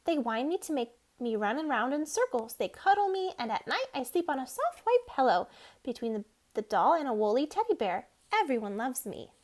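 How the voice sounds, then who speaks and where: A woman's voice: high voice, sing-song, normal speed, darkish tone. A woman voicing a small, friendly male wind-up toy mouse in a chatty, matter-of-fact way for a storybook. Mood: cheerful, proud.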